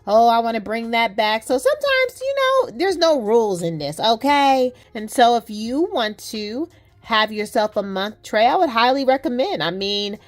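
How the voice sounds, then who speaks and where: A woman vlogging about fragrances in a lively, chatty way, using playful slang. She says her nose is a little stuffy. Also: a little high, moderate pace. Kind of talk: casual chat to camera.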